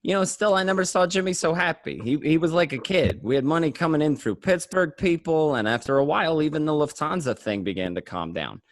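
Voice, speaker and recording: A man acting as an excitable mobster who tells his crime story conversationally, tripping over and repeating words. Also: a little high, a little fast, few pauses, no echo. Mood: excited, fond. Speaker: amateur actor in an online radio play. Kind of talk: first-person dramatic monologue, conversational.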